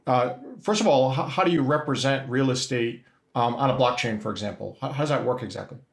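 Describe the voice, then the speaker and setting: A man's voice: medium pitch, a little fast, gravelly, echoey room. A middle-aged man hosting a business interview, hesitant and full of restarts as he sums up his guest's points. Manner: hesitant, restarts often, summarizing.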